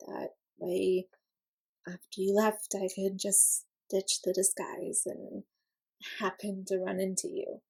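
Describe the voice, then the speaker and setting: A woman acts out an awkward young woman opening up to a friend in an intimate audio roleplay. She talks casually and slowly, with hesitations and self-deprecating, sarcastic wit. The voice is slightly husky and noticeably creaky. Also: medium pitch, flat delivery, darkish tone, heavily acted. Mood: sheepish, wry.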